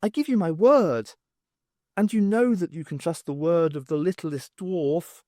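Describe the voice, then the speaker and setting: A man's voice: a little high, a little slow, dark tone, no room sound, studio quality, voice pushed up, lightly acted. A man voicing an adorable, lovestruck little dwarf in an audiobook, earnest and gushing. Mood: earnest, pleading.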